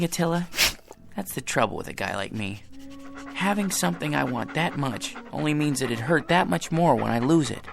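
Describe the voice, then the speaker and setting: An actor playing a meek, hapless husband in a radio drama, hesitant and stammering when flustered. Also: male voice, mid-range voice, creaky. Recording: music behind the voice.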